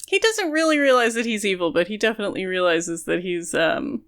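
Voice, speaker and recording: Woman, medium pitch, podcast co-host, casual book talk, analytical, qualifies her points as she explains. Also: expressive, a little fast, fairly bright tone.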